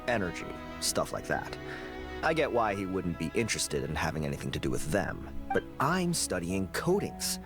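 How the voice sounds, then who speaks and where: A bitter young researcher. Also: male voice, deep voice, full character voice. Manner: sulky, grumbling. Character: resentful young male researcher. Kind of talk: game voice acting. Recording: game audio with background music.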